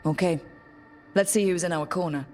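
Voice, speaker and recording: A determined female adventurer leading a party in a fantasy game, voiced by an actress. Her voice is very low, her delivery direct and level-headed, with music playing underneath. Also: moderate pace, darkish tone, lightly acted. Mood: steady. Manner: direct, questioning. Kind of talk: video game dialogue.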